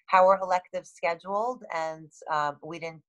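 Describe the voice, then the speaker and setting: A woman in her thirties with a halting, matter-of-fact manner, reading and answering questions as a school administrator on a video call. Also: on the low side, fairly bright tone. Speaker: school administrator hosting a town hall. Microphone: video call, computer microphone.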